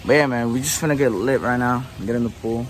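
A man's voice: medium pitch, fast, a little hiss or hum. A young man chatting casually into a phone at arm's length by a backyard pool, loose and full of slang. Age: young adult. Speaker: vlogger. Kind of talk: casual vlog chat. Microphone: phone held at arm's length.

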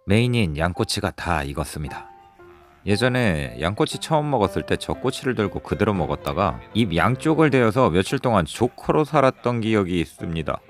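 Lively low-voiced man reviewing food. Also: fairly quick, faint music behind. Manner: lively, descriptive, chatty with friends. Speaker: food vlogger. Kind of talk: restaurant review narration. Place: busy barbecue restaurant.

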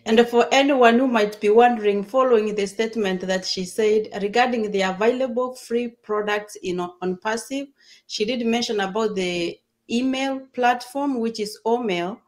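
A woman from Kenya hosting a video call interview, explaining things in an upbeat, quick way. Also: on the high side. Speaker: host. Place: home room. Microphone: webcam on video call.

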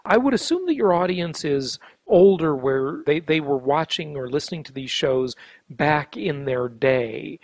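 Male interviewer for a podcast: conversational, fairly high-pitched, very dry studio-like sound. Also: expressive, unhurried, no echo.

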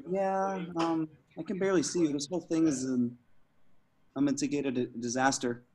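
A man's voice: on the high side, flat delivery, normal speed, darkish tone, lightly acted. A man reading the part of an awkward, smitten young slacker in an amateur table read, stammering and hesitant. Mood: awkward, nervous. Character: awkward, smitten, dim young male slacker, nervously earnest. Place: online group video call.

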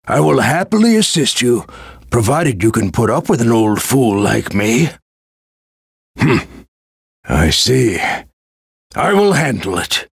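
An older man playing a humble, stern old knight and grandfather in a video game, with a gravelly, husky voice and a measured, grave manner. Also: mid-range voice, sing-song, no echo, clean studio sound, full character voice. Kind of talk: video game character voice acting.

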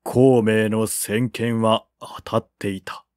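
Male audiobook narrator for historical fiction: measured, unhurried, mid-pitched, recorded dry. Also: level tone, darkish tone, no echo. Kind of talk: dramatic reading of a historical novel.

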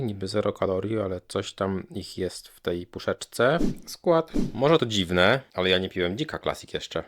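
A man with a Polish accent giving a casual, plain-spoken product review as a channel host. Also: deep voice.

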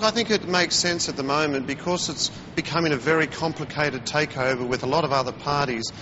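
A man being interviewed as a commodities analyst on live TV news, talking in a measured way and murmuring agreement while others speak. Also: on the high side, pushed and bright, long reverb tail, muffled recording, slight background noise. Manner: measured, with frequent murmured acknowledgments. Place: remote TV studio.

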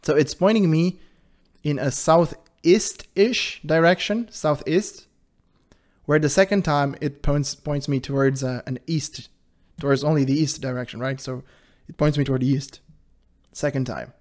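A man with a high-pitched voice hosting his channel, giving casual commentary in an animated, defensive way and laughing often. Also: unhurried. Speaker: channel host reacting to a video.

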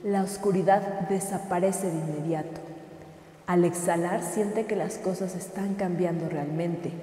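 Woman, meditation guide, very soft dark tone, soothing slow delivery, long echoey reverb. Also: fairly low pitch, fairly even intonation. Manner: slow, soothing, gentle instruction. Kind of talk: guided sleep meditation, scripted.

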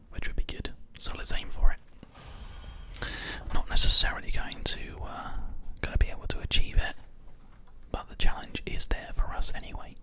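A man speaks entirely in a breath-only whisper, very close to a binaural microphone. His delivery is gentle, measured and unhurried, in the style of an ASMR gaming host talking the listener through play. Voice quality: unvoiced whisper. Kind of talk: ASMR gameplay commentary. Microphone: close binaural microphone, ear to ear.

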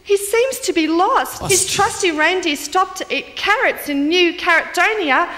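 Girlish dinosaur reporter, children's TV, earnest, very high, sharp, long reverb. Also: expressive, unhurried, exaggerated voice. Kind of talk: mock news bulletin for children.

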